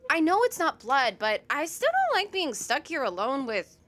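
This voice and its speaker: An actress playing a squeamish, playful young rink worker in a comedy audio drama. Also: female voice, high-pitched, huge pitch swings, normal speed, fairly bright tone, exaggerated voice. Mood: exasperated. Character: young woman rink worker, squeamish true-crime fan, playful friend.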